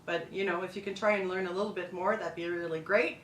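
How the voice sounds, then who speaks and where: A middle-aged woman chats about her life to camera, easygoing and full of asides. Her voice sits at an ordinary pitch. The room rings around her, the mic is set back, and a faint rumble sits underneath. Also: steady tone, quick pace, a bit bright, echoey room, far from the mic. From Montreal, Quebec, Canada. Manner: chatty, loose, with restarts and asides. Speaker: food and travel blogger. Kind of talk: casual vlog monologue.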